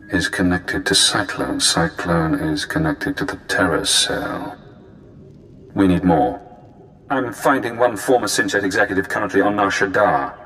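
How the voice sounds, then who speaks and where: An actor playing a cool, cunning spy handler in a video game, speaking in measured, cerebral, clipped phrases. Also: male voice, deep voice, bright, forceful tone, a little hiss or hum.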